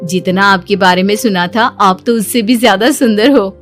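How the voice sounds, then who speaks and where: A woman's voice: medium pitch, normal speed, a bit bright, faint music behind, voice pushed down, close to an everyday voice. A woman voicing a polite, friendly young female cousin in a family story.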